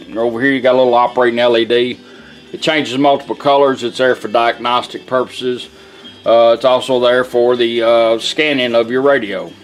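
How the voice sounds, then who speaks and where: Folksy man demonstrating, music behind. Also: mid-range voice. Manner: plain-spoken, folksy, informal phrasing. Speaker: product demonstrator, radio restorer. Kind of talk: casual hands-on product demonstration. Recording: music playing from a radio behind.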